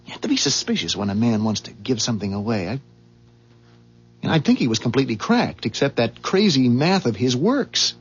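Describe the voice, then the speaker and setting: Rattled husband, husky and halting. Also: male voice, mid-range voice, very animated, soft background music. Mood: agitated, uneasy. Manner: halting, stammering, emphatic. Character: rattled, suspicious husband overwhelmed by a discovery. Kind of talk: 1970s radio drama acting. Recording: dull, muffled 1970s radio recording.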